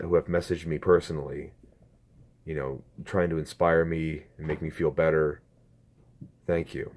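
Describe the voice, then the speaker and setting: A man in his twenties talks to his viewers through a phone camera, earnest and halting, with a strained throat. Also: deep voice, a little mellow, slight background noise. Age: 20s. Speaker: YouTuber. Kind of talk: casual talk to camera.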